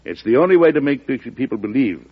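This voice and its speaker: An actor playing a principled older American president in a radio drama, speaking in a formal, deliberate, oratorical way. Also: male voice, mid-range voice, expressive, slightly rough. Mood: earnest, resolute. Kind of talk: 1940s radio drama acting. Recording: dull vintage radio recording.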